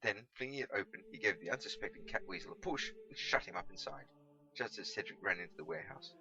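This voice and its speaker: A man narrates a storybook with lively, expressive swings of pitch. His voice is forceful and creaky, on a slightly dull lo-fi recording. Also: fast talker. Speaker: audiobook reader.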